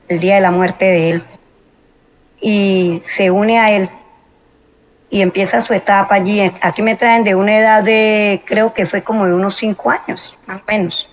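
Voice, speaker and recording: Hesitant Venezuelan-born woman, lo-fi. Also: medium pitch. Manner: hesitant, thoughtful, many fillers. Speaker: guest. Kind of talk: personal interview.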